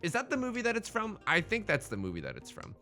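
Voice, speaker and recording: Male let's play host, slangy and chatty, very varied pitch, thinking aloud over light background music. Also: huge pitch swings, fast talker, pushed and bright. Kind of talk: casual gameplay commentary.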